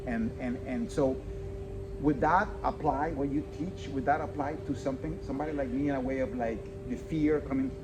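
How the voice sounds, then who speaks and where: A podcast host from El Salvador speaks English with a Spanish accent. He talks eagerly, repeats himself, and fills pauses with "like" and "you know." Music runs clearly under his voice. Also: medium pitch, steady tone, rough recording. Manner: enthusiastic, repeats phrases, many fillers. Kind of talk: casual interview.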